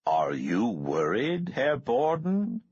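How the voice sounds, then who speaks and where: A man voicing a courtly, smooth-talking male billionaire with an oily, polite manner. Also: mid-range voice, huge pitch swings, takes it slowly, darkish tone, no echo, lo-fi sound, voice pushed up, heavily acted.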